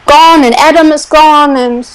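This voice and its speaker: A woman's voice: high voice, steady tone, unhurried, faint music behind, rough recording. A woman giving her opinions as a panelist in a casual group discussion.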